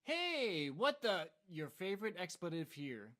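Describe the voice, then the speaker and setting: Game hero, male actor: indignant janitor, bright, high-pitched, protesting. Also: big pitch swings, measured pace, heavily acted. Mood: startled protest. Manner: exclaiming, protesting. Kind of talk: video game voice acting.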